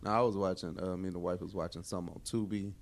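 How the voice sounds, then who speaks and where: A man's voice: low voice, fairly even intonation, fast talker. A man co-hosting a podcast in casual chat, slangy and given to exaggeration as he tells stories. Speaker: podcast co-host. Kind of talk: casual chat, informal storytelling.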